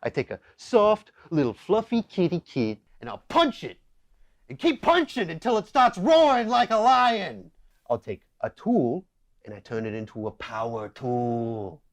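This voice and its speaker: A man's voice: a little high, big pitch swings, a little slow. A man playing a cocky, sleazy quack therapist in a comedy sketch, brash, crude and full of bragging. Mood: cocky, forceful.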